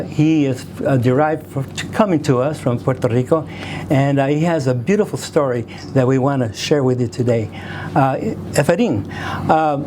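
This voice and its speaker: A man's voice: a little high, a little mellow, slight background noise. A man hosting a community arts show, reading an upbeat introduction and interviewing a guest. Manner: upbeat, announcing. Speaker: host.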